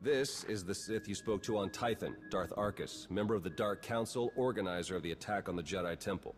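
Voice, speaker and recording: A man's voice: on the low side, a little fast, faint music behind, lightly acted. An actor playing a wry, cynical male spy in a video game, dry and understated. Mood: briefing, determined. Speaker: intelligence agent character. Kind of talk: video game cutscene acting. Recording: long hall reverb, noisy ambience behind.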